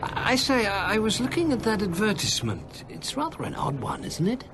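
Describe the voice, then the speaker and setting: An actor playing an anxious, scholarly English gentleman in a radio drama, hesitant and stammering in his politeness. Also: sits high, wildly swooping pitch, brisk, noisy background. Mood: nervous, puzzled.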